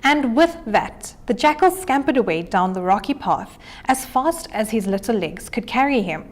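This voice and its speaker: Female storybook reader, fairly high voice, animated delivery for children. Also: normal speed.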